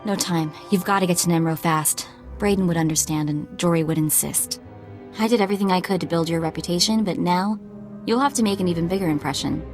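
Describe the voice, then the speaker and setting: A woman's voice: fairly low pitch, brisk, darkish tone, faint music behind, natural voice. A woman voicing a determined, grieving bounty hunter's handler in a video game, earnest and urgent in manner. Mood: urgent, resolute.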